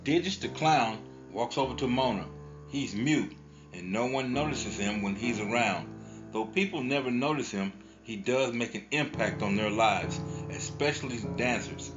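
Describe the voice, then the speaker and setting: Lo-fi male drama narrator over music. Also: medium pitch. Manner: measured recap storytelling. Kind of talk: scripted narration. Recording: dull lo-fi recording with music underneath.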